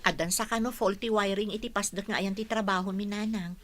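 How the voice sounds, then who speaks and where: An actress with a Filipino accent playing a gentle, dutiful young working woman, soft and apologetic, in a radio drama. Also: medium pitch, fairly even intonation, a little fast, close to an everyday voice. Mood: troubled.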